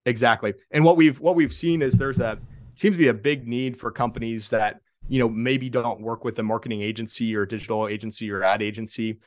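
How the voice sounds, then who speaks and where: A man in his twenties, a podcast guest, talking in a relaxed conversational way. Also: medium pitch, brisk, no room sound. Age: 20s. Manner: relaxed, conversational, many fillers and restarts. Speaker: guest, marketing consultant. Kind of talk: casual podcast interview.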